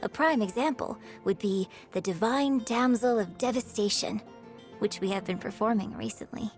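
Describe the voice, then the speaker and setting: An actress playing a refined, gracious young opera star and troupe director in a video game, speaking in a formal, theatrical manner. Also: female voice, mid-range voice, moderate pace, soft background music, close to an everyday voice. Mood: thoughtful, earnest. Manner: genteel, theatrical, formal wording. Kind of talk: video game character voice lines.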